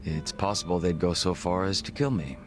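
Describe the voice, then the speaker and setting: An actor playing a composed, formal gangster second-in-command in a video game cutscene, measured and reserved. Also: male voice, low-pitched, music in the background, close to an everyday voice. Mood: dryly composed.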